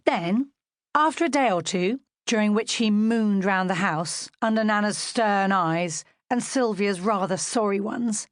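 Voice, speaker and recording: A woman's voice: mid-range voice, no echo, clean studio sound. A woman with a British accent narrating a children's story from a script as an audiobook reader.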